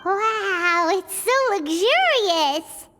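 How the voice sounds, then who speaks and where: Very high, squeaky childlike fairy. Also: female voice, lively intonation, drawn-out words, pushed and bright, full character voice. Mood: awed. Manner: exclamatory, blunt. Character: small excitable childlike fairy companion, girlish and outspoken. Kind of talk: video game voice acting. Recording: reverberant hall sound under music.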